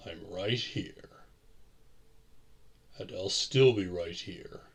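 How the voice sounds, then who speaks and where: Male vampire lover played by a man, low-voiced and very unhurried, courteous and soothing, formal old-world phrasing, for romantic audio drama. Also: slightly rough, exaggerated voice. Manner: formal old-fashioned phrasing, measured delivery. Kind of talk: romantic audio roleplay acting.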